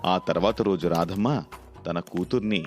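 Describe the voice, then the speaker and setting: A man's voice: low voice, fairly even intonation, moderate pace, a little mellow, faint music behind. A man working as narrator, reading a scripted moral tale.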